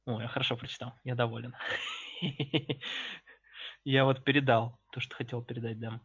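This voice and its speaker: A man's voice: mid-range voice, little pitch movement, normal speed, fairly bright tone, slightly rough. A young man, a poet, chatting on a video call with a headset mic; he says his voice is sick and mocks himself for loving to talk. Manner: self-mocking, talkative. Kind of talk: casual video call chat. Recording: dull lo-fi video call audio.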